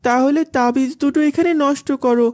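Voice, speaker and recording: Woman as a high-voiced defiant servant boy. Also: fairly even intonation, brisk, darkish tone, natural voice. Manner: firm, defiant. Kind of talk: animated cartoon dubbing.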